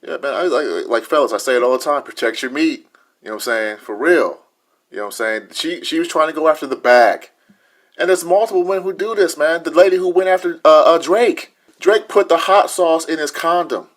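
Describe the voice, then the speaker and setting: Higher-pitched American man, very colloquial. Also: expressive, fairly quick. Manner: colloquial, seeks agreement. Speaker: podcast co-host. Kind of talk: casual opinionated panel talk.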